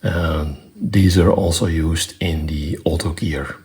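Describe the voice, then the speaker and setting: A man with a very low voice and a creaky edge walks through an electronics demonstration. He explains it step by step in a plain, matter-of-fact manner. His phrasing is halting and unscripted. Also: steady tone, darkish tone. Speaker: presenter demonstrating own electronics project.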